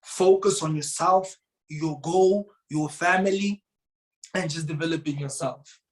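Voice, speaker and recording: A man's voice: on the high side, unhurried. A man from South Africa, a Tswana speaker, telling a motivational story earnestly as an interview guest. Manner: earnest, repeats words, rises to emphatic bursts.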